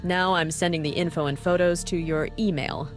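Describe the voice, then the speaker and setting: A woman voicing a bossy, cynical female task force boss in a visual novel, brisk, dry and condescending. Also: lowish voice, fairly even intonation, soft background music, close to an everyday voice. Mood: businesslike.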